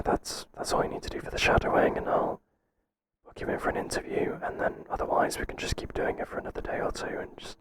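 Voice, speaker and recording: A man acts out a male boss in an intimate ASMR roleplay. He whispers throughout in a breathy, halting way. He comes across as flustered and professional, kind but firm. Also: natural voice, poor audio. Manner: hesitant, halting, gently firm.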